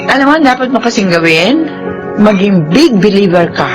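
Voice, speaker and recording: A woman acting a teasing, matchmaking best friend in a radio drama. Her voice swoops up and down as she chatters, with music clearly behind her. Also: medium pitch, lively intonation, normal speed, natural voice.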